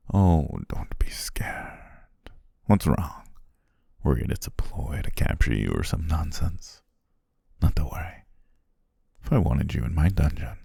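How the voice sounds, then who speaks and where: A man playing a courteous, flattering demon king in an intimate ASMR roleplay, with formal, old-fashioned phrasing and a husky, gravelly voice. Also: no room sound, clean studio sound, exaggerated voice. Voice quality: very deep, gravelly, husky, breathy. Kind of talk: ASMR roleplay, intimate second-person acting.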